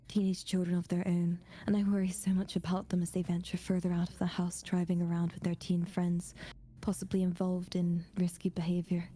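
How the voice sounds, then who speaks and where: A woman's voice: fairly low pitch, slight background noise, voice pushed down, natural voice. A woman voicing an anxious eighty-two-year-old in a whispered, solemn scary-story confession for ASMR. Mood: anxious, bewildered. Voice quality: breathy, hushed whisper. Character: anxious 82-year-old grandparent haunted by mysterious sympathy cards.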